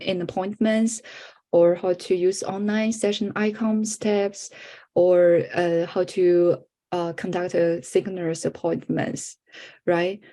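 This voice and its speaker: Halting woman presenter, native Chinese speaker. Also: fairly low pitch, mellow. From China. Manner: halting, with frequent restarts and fillers. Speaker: conference presenter. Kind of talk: online workshop presentation.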